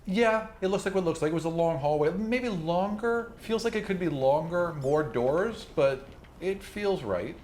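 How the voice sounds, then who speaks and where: A man's voice: high-pitched, expressive, normal speed, a little mellow, slight background noise. A man improvising tabletop game narration as game master, descriptive and conversational. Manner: conversational, descriptive, swears casually.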